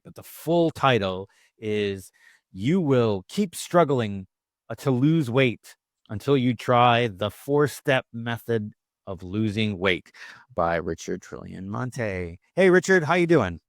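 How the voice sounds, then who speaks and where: A man's voice: lowish voice, expressive, a little slow, no echo, studio quality. Upbeat man hosting an interview. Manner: upbeat, emphatic host introductions. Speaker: podcast host.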